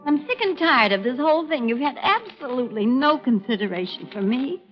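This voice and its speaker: An actress playing an indignant, haughty widow in a 1950s radio drama. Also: female voice, high-pitched, big pitch swings, brisk, faint music behind. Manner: haughty, exasperated. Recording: dull old radio broadcast.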